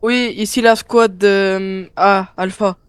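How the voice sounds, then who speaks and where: A man's voice: high voice, steady tone, slow, a bit bright, clear, resonant tone, no echo, studio quality. A man improvising hesitantly in a game roleplay as a police squad survivor talking over the radio. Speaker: player in a zombie survival roleplay.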